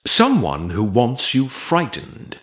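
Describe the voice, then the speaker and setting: A man voicing a powerful, guarded male mafia boss in an audio romance story, speaking in terse, halting, formal phrases. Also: medium pitch, huge pitch swings, a bit bright, heavily acted. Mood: guarded, intense.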